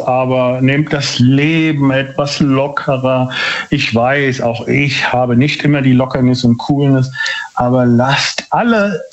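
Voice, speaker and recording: A dull, lo-fi phone line carries a man calling a radio show. His pitch is average for a man, and he talks in a loose, rambling, casual way.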